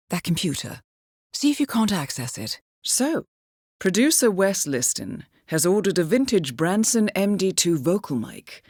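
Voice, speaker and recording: A cool intelligence handler briefing an assassin in a spy game. Her voice moves with expressive, varied intonation while staying composed and clipped, with wry understatement. The sound is close and dry. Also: low voice, no room sound. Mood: cool, urgent. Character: poised, dry-witted female intelligence handler guiding an assassin. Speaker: mission handler. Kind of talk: scripted game briefing dialogue.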